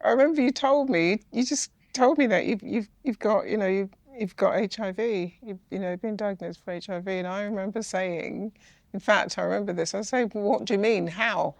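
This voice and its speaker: Brief: woman, gravelly fry, halting and repetitive, dry sound. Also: medium pitch, dry recording. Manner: halting, repeats herself while remembering. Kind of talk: intimate interview conversation.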